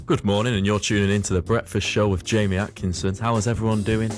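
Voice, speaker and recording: A man's voice: fairly low pitch, level tone, fast, far from the mic, music in the background. Laid-back young man. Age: young adult. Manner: easygoing, rambling, many fillers. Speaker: student radio presenter. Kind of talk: casual interview talk. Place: outdoors in a city.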